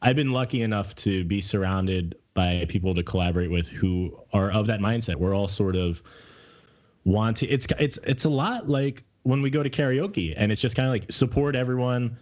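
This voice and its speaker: A middle-aged man with a deep voice talking candidly and casually as a podcast co-host, reflecting as he searches for his words. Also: normal speed, darkish tone, highs cut off. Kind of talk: casual personal conversation.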